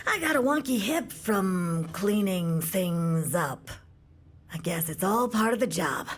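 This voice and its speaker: An actress playing a wry, gruff janitor with aches, blunt, folksy and conspiratorial, in an audio drama. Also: female voice, mid-range voice, animated, slow pace.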